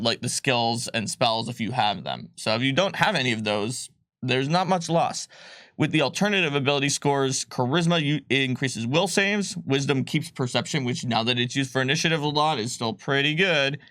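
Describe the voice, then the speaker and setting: A man explaining tabletop game rules in a casual livestream chat, talking in quick bursts with ums and restarts. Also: medium pitch, fairly bright tone, dry recording, clean studio sound. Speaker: game designer co-host.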